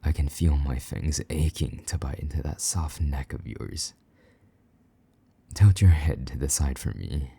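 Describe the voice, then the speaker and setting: Vampire knight, played by a man: rock-bottom pitch, dark breathy tone, possessive, condescending, commanding, intimate roleplay delivery. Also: heavily acted. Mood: cold, hungry. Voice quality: low, husky, breathy, often half-whispered. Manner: slow, taunting, commanding. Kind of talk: intimate roleplay audio drama.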